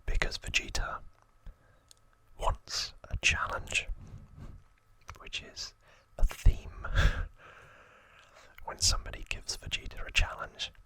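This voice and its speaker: A man hosting an ASMR video, whispering trivia in a breathy, unvoiced, soft and informal way. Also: no echo. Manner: soft, unhurried, informal retelling.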